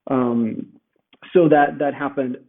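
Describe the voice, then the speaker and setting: A man's voice: medium pitch, steady tone, takes it slowly. A man whose first language is Italian, speaking thoughtfully as a guest author, with many fillers and restarts, on a remote call. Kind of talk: conversational interview. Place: home in Rome. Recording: tinny phone-like remote call.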